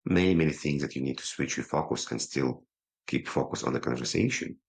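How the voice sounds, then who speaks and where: A man with an extremely deep voice that keeps dropping into a gravelly fry, with a husky edge. He speaks English with a non-native accent. He is a podcast guest on a video call, fast at heart but pausing deliberately. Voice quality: low voice. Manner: quick talker who slows himself down, pausing instead of fillers. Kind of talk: casual interview talk.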